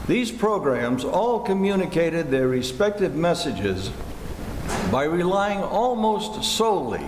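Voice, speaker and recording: Guy narrating old radio play, highish lively voice, echoey hall, bit noisy. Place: indoor theater hall.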